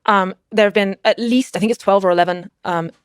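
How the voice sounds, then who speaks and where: A woman's voice: mid-range voice, fairly even intonation, a little fast, clean tone, dry recording, studio quality. A British woman, a guest commentator from Britain, answering interview questions in a hesitant way, with fillers and restarts.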